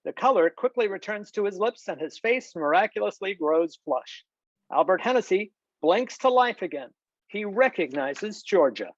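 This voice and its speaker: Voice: mid-range voice, lively intonation, moderate pace. A narrator reading stage directions in a scripted screenplay table read. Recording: slightly dull video-call audio, dry.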